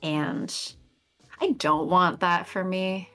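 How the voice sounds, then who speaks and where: A young woman chatting casually on a game stream, talking in a melodic, animated way with lots of pitch variation. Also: slow pace, soft background music. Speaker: streamer co-host.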